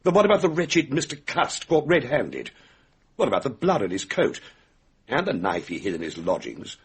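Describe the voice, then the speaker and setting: An actor playing a defiant gentleman suspect in a radio mystery drama, scornful and indignant in his manner. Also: mid-range voice, wildly swooping pitch, moderate pace, husky, exaggerated voice. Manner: indignant, sardonic.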